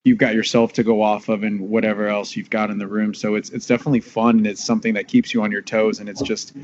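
Fast-talking man on a lo-fi recording. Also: lowish voice, little pitch movement, darkish tone, a little hiss or hum. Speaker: voice actor on a panel. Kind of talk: casual panel discussion.

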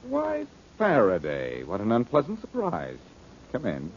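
An unflappable wisecracking sleuth on a muffled old radio show. His husky, lowish voice lilts up and down freely, glib and mocking. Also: huge pitch swings, a little slow, a little hiss or hum. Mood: mocking, breezy. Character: flippant, wisecracking, unflappable male amateur detective. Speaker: lead actor in a detective radio drama. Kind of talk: old-time radio detective acting.

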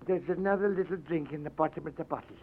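A man's voice: a little high, fast, a little hiss or hum, close to an everyday voice. An actor playing a boastful, panicky drunken informer, stammering as he talks, in a 1940s radio drama on a muffled old broadcast. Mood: flustered. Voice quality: blustering, stammering.

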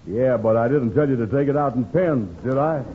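A man's voice: medium pitch, fairly quick, natural voice. An actor playing a gullible, easily flustered salesman with folksy, ungrammatical talk, in old radio comedy style. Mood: exasperated. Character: gullible, exasperated working-class male pen salesman. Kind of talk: 1940s radio comedy acting. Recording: tinny, lightly hissy old-time radio recording.

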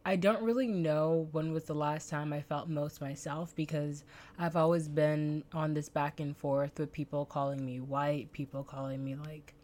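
A woman's voice: deep voice, steady tone, normal speed, darkish tone. A young woman from the Bahamas with a light Bahamian accent, co-hosting a casual podcast chat in careful, precise diction sprinkled with chatty fillers. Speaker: podcast co-host.

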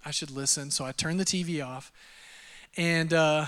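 Texas guy in his 30s preaching, chatty and self-mocking, higher voice, big church reverb. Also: fairly even intonation, a little slow, fairly bright tone, clean tone. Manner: conversational, self-deprecating storytelling with frequent fillers. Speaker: pastor. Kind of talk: sermon with personal testimony. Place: church auditorium. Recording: long hall reverb.

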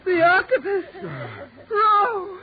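An actress playing a horror-struck, bitter woman adrift at sea, in drawn-out 1940s radio melodrama. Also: extremely high pitch, a crowd in the background. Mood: horrified. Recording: muffled, very noisy 1940s radio recording.

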